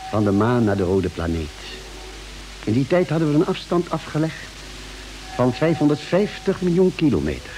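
An actor playing a calm ship's doctor who narrates a space expedition in a measured, articulate 1950s radio drama style. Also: male voice, on the low side, lightly acted, rough recording.

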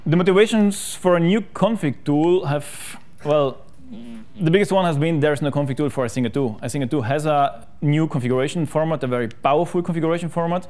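Smooth-voiced man on stage. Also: fairly high pitch, fairly quick, darkish tone. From South Tyrol, Italy. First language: German. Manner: chatty, self-deprecating, many fillers and restarts. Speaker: conference speaker. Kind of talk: technical conference talk. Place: conference room stage.

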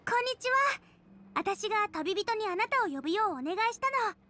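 A woman's voice: very high-pitched, big pitch swings, moderate pace, pushed and bright, heavily acted. A woman voicing a cheerful, eager teenage girl chef in an anime game, bubbly yet polite and earnest. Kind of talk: Japanese anime game voice acting.

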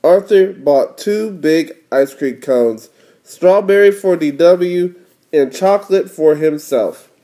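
Mellow dad reading a storybook slowly. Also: male voice, a little high. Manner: explanatory, prompting with questions. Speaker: father reading to his young daughter. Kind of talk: storybook read-aloud with casual explanations.

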